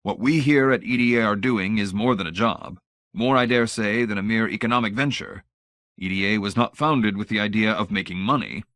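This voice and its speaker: A man's voice: lowish voice, a little fast, a bit bright, dry recording, studio quality, lightly acted. A man voicing a fussy, pompous old research director in an audiobook, idealistic and grand in manner but timid. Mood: pompous, earnest.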